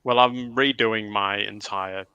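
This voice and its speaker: Man, low creaky voice, casual hobby chat, dry close sound, self-deprecating. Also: steady tone, slow pace, sharp tone, no room sound, dull audio. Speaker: livestream panel member. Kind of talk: casual hobby chat about miniature wargaming.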